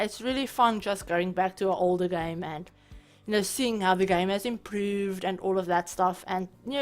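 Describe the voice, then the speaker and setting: A woman hosting a gaming channel, talking casually over her gameplay with a hoarse, raspy voice, chatty and apologetic, full of fillers. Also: mid-range voice. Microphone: home voice-over mic.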